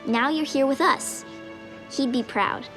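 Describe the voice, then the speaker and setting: A blunt, tough young cartoon girl, with a fairly high voice and a frank, caring manner. Clear music plays behind her animated-series dialogue. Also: measured pace. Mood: frank, warm. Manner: frank, plain-spoken.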